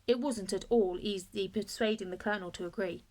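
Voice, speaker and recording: A woman reading a children's storybook aloud as a first-person narrator, in a measured, expressive way. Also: mid-range voice.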